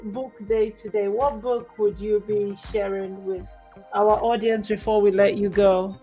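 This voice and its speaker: A woman's voice: medium pitch, little pitch movement, a little slow, soft background music. A woman hosting a live talk show, chatty and upbeat as she welcomes and thanks her guests. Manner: upbeat, chatty, warmly promotional. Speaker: host.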